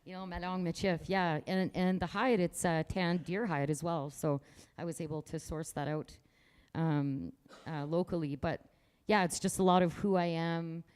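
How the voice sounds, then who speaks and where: A woman's voice: on the low side, steady tone, moderate pace, darkish tone, clean tone. A woman artist from rural Manitoba talking casually about her work in an interview, outspoken and proud, with frequent restarts. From rural Manitoba, Canada.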